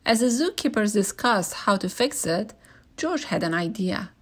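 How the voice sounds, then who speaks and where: A woman's voice: medium pitch, expressive, moderate pace, a bit bright. Female storyteller for a children's picture book read-aloud, mid-range voice.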